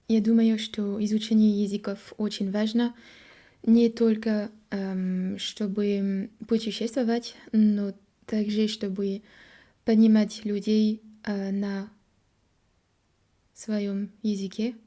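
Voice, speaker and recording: A young French woman with a French accent, talking to camera in a learner's halting Russian, pausing often to search for words and correct herself. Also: mid-range voice, flat delivery, warm tone. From France. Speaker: vlogger. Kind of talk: casual monologue to camera.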